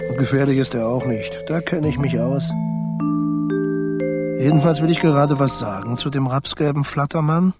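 A male actor plays a scatterbrained little barn mouse telling a story in a children's radio play. The talk is slow, rambling and offhand, at a middling male pitch. Loud music plays behind. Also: close to an everyday voice. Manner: rambling, chuckling, forgetful, offhand. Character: chatty scatterbrained barn mouse, a family creature writing a letter.

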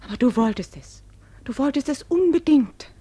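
A woman playing a despairing, reproachful wife in a radio drama, with a breathy, husky voice. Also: high-pitched, lively intonation, normal speed, mellow. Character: despairing, reproachful wife who has lost everything. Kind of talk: radio play acting.

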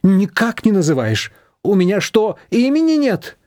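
Guy doing a whiny high old mother-in-law, scolding and dramatic, swoops all over. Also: very animated, measured pace, darkish tone, no echo, clean studio sound. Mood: indignant. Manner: plaintive, scolding, theatrical. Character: fussy doting elderly mother-in-law.

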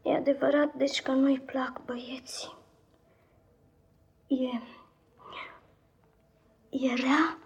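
A timid young boy of about ten, in a 1950s radio play. The voice is high and earnest, polite and quick to show feeling. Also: level tone, slightly rough, muffled recording, exaggerated voice. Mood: timid, pleading. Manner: polite, pleading, emotional. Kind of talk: 1950s radio drama acting.

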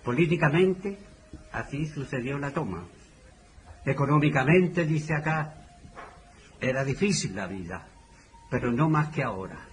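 A man's voice: medium pitch, expressive, a little slow, pauses often. Older Chilean man, noisy recording. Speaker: community elder giving testimony. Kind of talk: commemorative speech, oral testimony partly read from notes. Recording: clear background noise.

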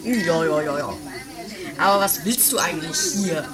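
A woman's voice: deep voice, animated, moderate pace, crowd noise. A woman playing a bossy, boastful but secretly timid husband in an amateur comedy radio play, curt and grumbling. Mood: smug, dismissive. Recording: homemade 1980s radio play, loud music.

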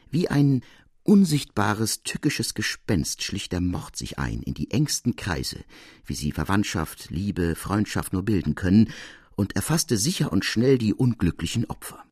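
A man reading a classic literary tale as narrator, in measured, formal storytelling prose. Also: low-pitched, a little mellow, slightly rough, no echo, clean studio sound.

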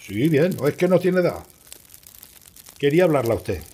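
A man's voice: on the high side, very animated, moderate pace, warm tone. An actor playing a plain-spoken, stubborn and brooding countryman in a radio drama. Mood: curt, determined. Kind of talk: radio play acting and first-person narration.

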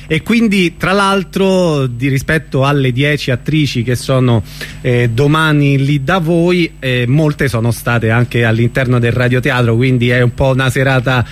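A man hosting an informal radio interview, speaking haltingly and giving brief supportive replies. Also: medium pitch, slight background noise. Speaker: radio host.

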